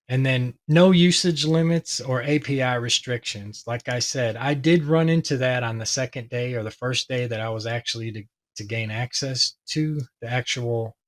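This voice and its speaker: A man with a hoarse voice talking casually and ramblingly as he explains a tech topic to his viewers, with many fillers. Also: medium pitch, unhurried. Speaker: tech video host.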